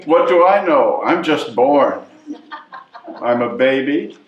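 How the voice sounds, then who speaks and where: A man's voice: mid-range voice, expressive, slow pace. A man playing a chatty, know-it-all newborn baby in a readers theatre comedy. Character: cocky, chatty newborn baby, sure of being a girl. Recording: echoey, muffled stage recording from far off.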